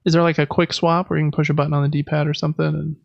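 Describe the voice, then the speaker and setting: Gravelly man, slightly muffled dry recording. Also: a little high, level tone, very fast, no echo. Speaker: podcast co-host. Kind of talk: casual chat about video games.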